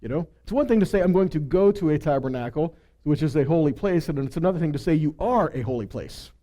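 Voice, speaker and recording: A man's voice: fairly high pitch, lively intonation, fast talker, dark tone. A man giving a conversational sermon as a preacher, teaching with rhetorical questions and frequent check-in tags.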